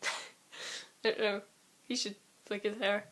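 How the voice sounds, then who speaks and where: A woman's voice: medium pitch, flat delivery, unhurried, fairly bright tone. A young woman chatting casually and ramblingly to camera as an amateur vlogger, with a giggly, self-deprecating, informal manner.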